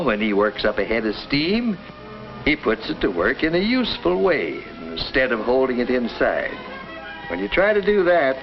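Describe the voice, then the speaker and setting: A kindly family doctor in a 1950s educational film, reassuring and folksy. His delivery rises and falls in a lively way as he explains things like a father. The old soundtrack is dull, with music clearly behind him. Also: mid-range voice. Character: kindly small-town family doctor recounting cases, reassuring. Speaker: narrator and doctor.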